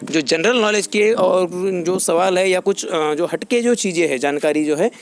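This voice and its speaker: A man's voice: high-pitched, moderate pace, slight background noise. A man hosting an informal explainer for his channel's viewers, wordy and often repeating himself. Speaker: YouTube channel host.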